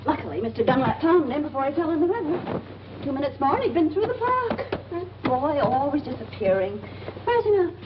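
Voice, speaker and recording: A woman's voice: high-pitched, very animated, fairly quick, background noise. An actress playing an anxious, scolding mother in a 1940s film, fretful and nagging. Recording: dull old film soundtrack with music.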